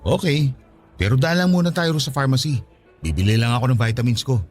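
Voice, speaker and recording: An actor playing an exasperated young man in a Tagalog radio drama, expressive and sarcastic as he argues with a partner. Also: medium pitch, brisk, soft background music, natural voice. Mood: casual.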